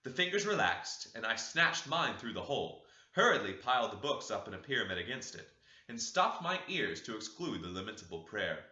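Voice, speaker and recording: A man's voice: fairly low pitch, sing-song, a little fast, sharp tone, roomy sound, muffled recording. A man narrating a classic novel as an audiobook, reading dramatically.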